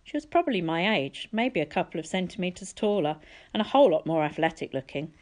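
A woman's voice: lowish voice, expressive, fairly quick. A woman narrating a first-person story from a book as an audiobook reader.

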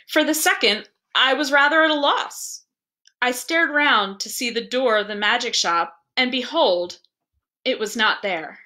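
Female story narrator: higher-pitched, bright, expressive, deliberate literary reading. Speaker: librarian reading a story aloud.

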